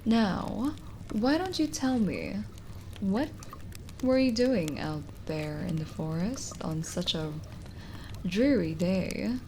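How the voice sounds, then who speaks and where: Kindly cottage witch, played by a woman for ASMR roleplay: unhurried, lowish creaky voice, gentle coaxing, hushed moments. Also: lively intonation, a little hiss or hum. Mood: gently curious. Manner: gentle, coaxing, hesitant pauses, drifting into whispers. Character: lonely, kindly forest witch, soothing and hospitable. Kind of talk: ASMR roleplay audio drama.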